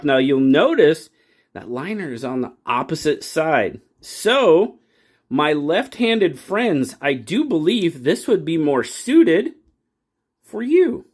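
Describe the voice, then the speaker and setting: Man, casual knife review, folksy and rambling, lots of restarts, slightly high voice. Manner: rambling, folksy, self-correcting with frequent restarts. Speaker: knife reviewer, channel host. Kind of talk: casual product review talk.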